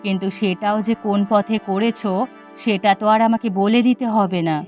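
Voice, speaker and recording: A woman's voice: medium pitch, steady tone, moderate pace, darkish tone, soft background music, close to an everyday voice. A woman with a West Bengal accent voicing a thief's suspicious, disapproving wife in a cartoon, speaking in pointed reproaches. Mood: reproachful. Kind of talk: cartoon voice acting.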